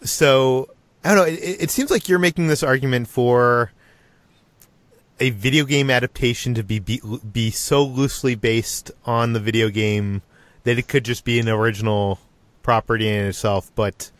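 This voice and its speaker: A man hosting a casual film discussion, putting roundabout questions in a halting way. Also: mid-range voice, no room sound. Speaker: podcast host.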